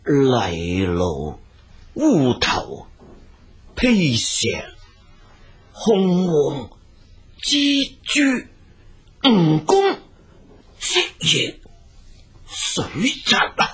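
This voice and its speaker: Fretful master, wildly swooping voice. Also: male voice, fairly high pitch, wildly swooping pitch, extremely slow, long pauses, fairly bright tone, breathy edge, heavily acted. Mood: horrified. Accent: Hong Kong Cantonese. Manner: fretful, exclamatory, humble to the healer. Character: anxious, deferential wealthy older father and household master. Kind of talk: Cantonese radio drama acting.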